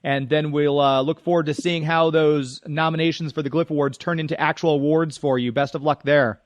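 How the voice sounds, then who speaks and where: Higher-pitched man hosting, dry sound. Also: steady tone, a little fast, no room sound, muffled recording. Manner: affirming, encouraging. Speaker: radio show host, interviewer. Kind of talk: casual radio interview.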